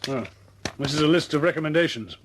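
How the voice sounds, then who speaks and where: A man acting in a radio drama as a senior British air force commander, measured and businesslike, with a clipped, understated delivery and a British accent. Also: a little high, close to an everyday voice. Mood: grave, businesslike. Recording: noisy old radio recording with clicks.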